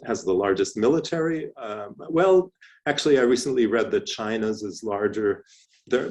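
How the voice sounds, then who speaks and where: A middle-aged man from Canada giving a lecture presentation, speaking in a thoughtful, measured way with frequent restarts and fillers. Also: on the low side, darkish tone. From Canada (Toronto). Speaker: professor giving a talk.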